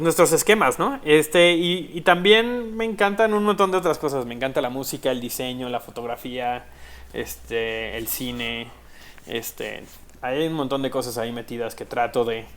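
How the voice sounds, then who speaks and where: A man from Mexico with a Mexican accent, a pastor and podcast co-host, chatting casually and laughing easily. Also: mid-range voice. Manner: lively, laughing, many "este" fillers. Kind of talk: casual interview talk.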